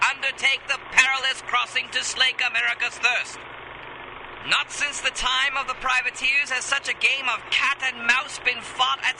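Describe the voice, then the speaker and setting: Very high-pitched newsreel announcer. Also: male voice, background noise. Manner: grandiose, declamatory. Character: excitable 1930s newsreel announcer. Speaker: newsreel narrator. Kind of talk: 1930s newsreel narration.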